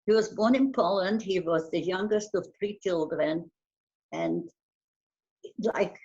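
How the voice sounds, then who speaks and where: Older woman from Bulgaria, German her first language, lowish voice; reflective interviewee, muffled lo-fi recording. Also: darkish tone. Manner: reflective, halting, repeats and restarts words. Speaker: interviewee, Holocaust survivor. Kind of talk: oral history interview.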